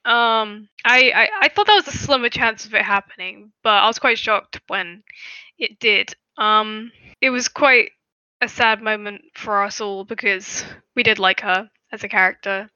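A woman's voice: mid-range voice, unhurried, bright, forceful tone, clean tone, no room sound. A woman co-hosting a podcast, chatting casually about a TV series and stammering through her thoughts. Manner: stammering, hesitant, many fillers. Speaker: podcast co-host. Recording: dull lo-fi dry recording.

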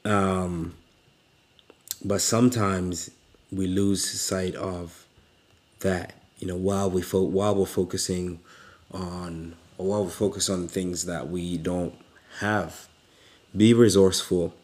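A man from Uganda giving a casual motivational talk in a relaxed, halting way, with fillers and frequent laughs. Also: low voice. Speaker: motivational speaker.

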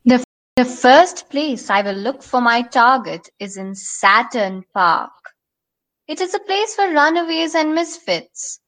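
A woman playing a brooding, purposeful female scientist in an audio drama, musing deliberately to herself. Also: high voice, lively intonation, fairly bright tone, heavily acted, poor audio. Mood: scheming calm.

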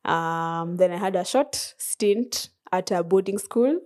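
A young woman in her twenties with a Kenyan accent, a podcast guest chatting casually, soft-spoken with frequent laughs and hesitations. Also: lowish voice, slow. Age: 20s. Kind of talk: casual interview chat.

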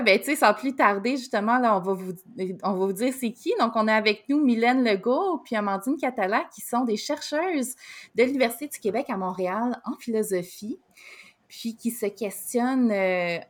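Chatty Québécois woman hosting. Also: on the high side. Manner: chatty, full of fillers and restarts. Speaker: podcast co-host. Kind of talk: casual interview chat.

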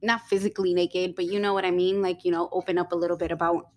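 A young woman co-hosting a casual podcast, talking in a rambling way with many fillers and quick giggles. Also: on the low side, level tone. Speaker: podcast co-host.

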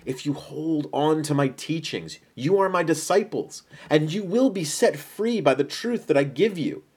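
A man voicing Jesus as a bold, authoritative teacher in his thirties, speaking with measured, solemn emphasis in a dramatized Bible story. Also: a little high, expressive, a little mellow, breathy edge, pitched above the performer's own voice. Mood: earnest, emphatic.

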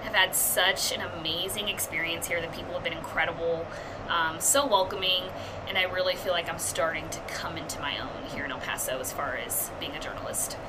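A woman's voice: lowish voice, fairly quick, noisy background. A young woman in her twenties, a TV news reporter, chatting upbeat and casually in an interview with many fillers.

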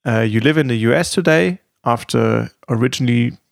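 A man's voice: medium pitch, fairly quick, vocal fry, no echo, studio quality. A man hosting an interview podcast, introducing his guest and prompting him conversationally. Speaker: podcast host.

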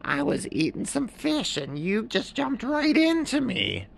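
A sensible, slightly fussy blue heron talks in a high, thin voice, put on by a man. Its pitch jumps up and down, with a faint gravel underneath. It fits a heron in a storybook told at bedtime. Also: wildly swooping pitch, unhurried, heavily acted. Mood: peevish.

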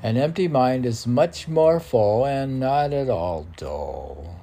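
A man's voice: medium pitch, lively intonation, takes it slowly, mellow. A man reading his own poems aloud as a poet, with declamatory, emphatic delivery.

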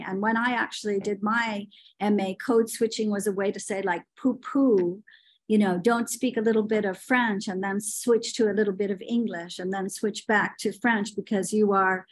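A middle-aged woman talking about language teaching in a podcast conversation, opinionated and telling stories, with frequent fillers. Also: mid-range voice.

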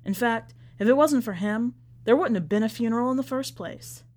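A woman voicing a bitter, skeptical son in a story, terse and accusing in a low-key way. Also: moderate pace, darkish tone, lightly acted. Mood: bitter accusation. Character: bitter, accusing son of a dead man, confronting preacher.

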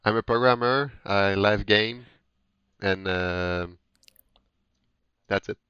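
A man's voice: fairly low pitch, takes it slowly, sharp tone, smooth, dry recording, muffled recording. A man from Canada joining a podcast, speaking in a hesitant, laid-back way. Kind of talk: casual chat.

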